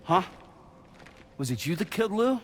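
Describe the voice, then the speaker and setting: A man's voice: sits high, sing-song, moderate pace, a bit bright, a little hiss or hum. An actor playing a weary, angry male courier who answers in curt, clipped retorts in a video game cutscene.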